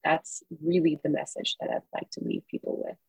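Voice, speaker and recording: A woman's voice: deep voice, wildly swooping pitch, brisk, vocal fry, breathy edge, no room sound, poor audio. A woman from Singapore, an author and consultant, answering interview questions in an earnest, emphatic, encouraging way.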